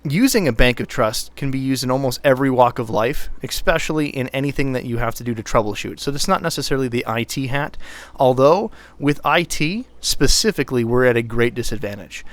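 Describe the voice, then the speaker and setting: A man with a low voice, co-hosting an IT podcast, speaking deliberately with fillers and restarts. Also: animated. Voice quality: low voice, pushed brighter on purpose. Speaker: podcast co-host, IT technician. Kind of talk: casual podcast talk. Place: Minnesota, US.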